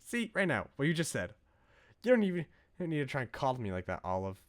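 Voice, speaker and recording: A man's voice: mid-range voice, sing-song, fast, natural voice. Man reading as an anxious, frustrated young woman. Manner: earnest, ranting, pleading. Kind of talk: dramatic reading of game dialogue. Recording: game music behind the voice.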